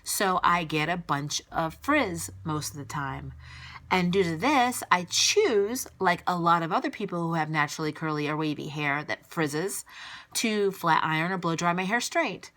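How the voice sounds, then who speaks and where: A woman's voice: fairly low pitch, lively intonation, normal speed, fairly bright tone. A woman vlogger speaking straight to camera, answering her critics in an exasperated, emphatic and blunt way. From United States. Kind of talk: direct-to-camera rebuttal vlog.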